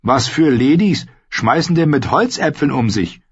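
A male reader puts on a sullen teenage commoner lad in an audiobook, with a raised, husky voice. He grumbles with open scorn. The sound is slightly dull. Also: on the high side, animated, measured pace, dry recording, voice pushed up. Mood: indignant. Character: sullen, disgusted, bull-headed teenage commoner boy. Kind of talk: audiobook character voice.

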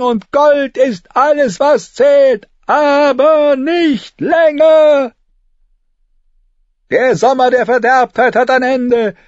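A man voicing a fanatical begging-friar street prophet in an audiobook, shrill and strained, preaching with declamatory fervour. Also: dry recording, dull audio. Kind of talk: dramatised audiobook character.

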